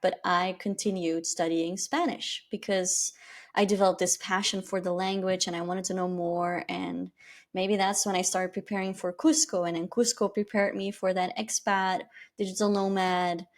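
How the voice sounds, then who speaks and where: A woman's voice: medium pitch, moderate pace. Candid Flemish-accented woman podcaster. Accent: Flemish Belgian. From Belgium. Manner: candid and reflective, with lively rises. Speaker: podcast host. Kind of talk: personal storytelling monologue.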